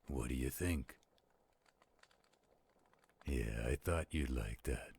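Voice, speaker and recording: A man voicing a theatrical, flirtatious werewolf storyteller in an audio roleplay, with a gravelly, husky voice. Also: rumbling low, a little mellow, exaggerated voice. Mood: teasing, playful. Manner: slow, dramatic, playful. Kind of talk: audio roleplay acting with dramatic poem recitation.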